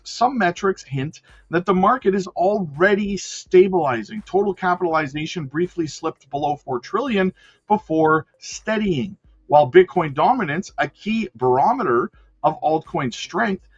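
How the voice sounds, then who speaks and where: Husky-voiced man hosting a crypto stream. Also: high-pitched, highs cut off. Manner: chatty, keeps checking in with "right?" and "guys". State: losing his voice. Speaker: crypto livestream host. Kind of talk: livestream commentary mixing read news and chat.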